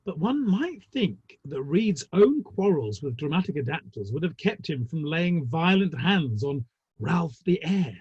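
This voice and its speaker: Slightly dull, dry online sound carries a man acting a wry Victorian theatre manager. The husky voice sits fairly high and talks in an arch, ornate, gossipy way, as in a play reading. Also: very animated, measured pace, darkish tone, no room sound, exaggerated voice. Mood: droll, gossipy. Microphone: online call.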